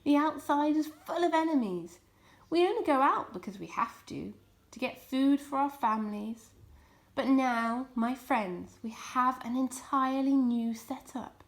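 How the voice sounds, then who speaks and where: A woman's voice: sits high, lively intonation, a little slow, voice pushed up. A woman voicing a jovial, confident male fox leader, making rousing speeches in a children's storybook. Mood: serious, rousing. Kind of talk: children's storybook character reading.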